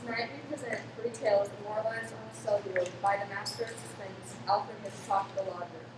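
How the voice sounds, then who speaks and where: A woman's voice: mid-range voice, fairly even intonation, brisk. A woman announcing a radio play in scripted, old-time radio narration style. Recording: echoey room, clear background noise.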